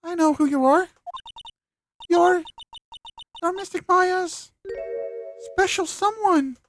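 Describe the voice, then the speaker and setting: A woman voicing a shy, earnest little girl in a video game, with a small childlike voice and halting speech. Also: extremely high pitch, faint music behind. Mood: earnest, hopeful. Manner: timid, halting, wondering. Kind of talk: amateur video game voice-over.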